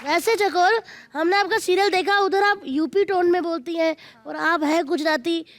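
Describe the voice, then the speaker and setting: A woman hosting a comedy show, teasing guests and the audience with quick playful jokes. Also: extremely high pitch, a faint crowd. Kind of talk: teasing stand-up banter with audience. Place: TV studio stage with live audience. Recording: loud studio audience and noise.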